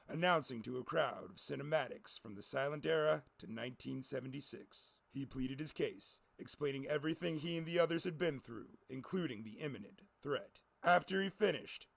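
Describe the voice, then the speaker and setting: A man's voice: fairly high pitch, creaky, no room sound. A man reading scripted fantasy adventure narration as a storyteller.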